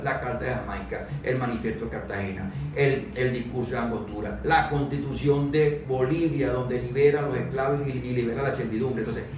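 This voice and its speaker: A man with a mid-pitched voice gives his opinion on a panel. He is insistent and repeats phrases to drive points home. He sounds distant in a very echoey room. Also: a little mellow. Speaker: discussion panel guest. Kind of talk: opinionated unscripted discussion. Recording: very echoey room, distant mic, faint noise.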